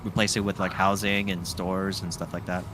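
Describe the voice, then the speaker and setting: A man's voice: low voice, level tone, moderate pace. A young man chatting outdoors, giving short, reluctant answers. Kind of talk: casual chat. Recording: noisy outdoor street recording.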